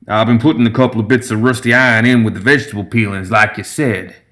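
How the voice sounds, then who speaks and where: A man's voice: lowish voice, fairly even intonation, fast, bright, forceful tone. Man as an eager, rustic young gremlin. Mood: eager pride. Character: eager young male gremlin moonshiner, deferential, proud.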